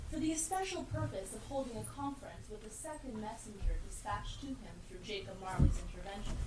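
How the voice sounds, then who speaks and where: A woman with a slightly high voice narrates a story on stage. Her phrasing is deliberate and declamatory, recorded from afar in an echoey room with clear background noise. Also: recorded from a distance. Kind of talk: scripted storytelling narration in a live play.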